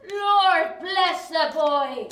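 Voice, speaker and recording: Radio play scold: high English voice, bossy village wife, exasperated; echoey, set back from mic. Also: female voice, very slow, fairly bright tone, far from the mic, full character voice. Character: sharp-tongued, bullying, resentful village housewife.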